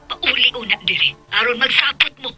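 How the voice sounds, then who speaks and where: A woman's voice: on the high side, brisk, over music, natural voice. An actress playing a firm, worried middle-aged mother in a radio drama, with a Filipino accent. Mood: matter-of-fact.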